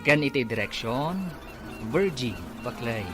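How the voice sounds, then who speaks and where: A man's voice: medium pitch, animated, measured pace. A man with an Ilocano accent announcing and narrating a radio drama in a dramatic scripted style. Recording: loud music behind the voice.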